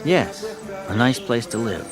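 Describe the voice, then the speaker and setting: An actor playing a wry, bantering American adventurer in a naturalistic radio drama, musing and wisecracking. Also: male voice, medium pitch, sing-song, a little slow, over music. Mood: wry, puzzled. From United States. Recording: radio play with background ambience.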